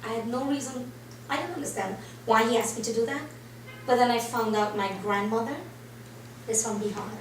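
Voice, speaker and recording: A woman from Trinidad tells her personal story in an interview, animated and lively as she quotes what people said to her. Her voice sits a little high. It sounds distant, with heavy echo off the room and faint background noise. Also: fairly quick. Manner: animated, acts out quoted reactions. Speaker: interview guest.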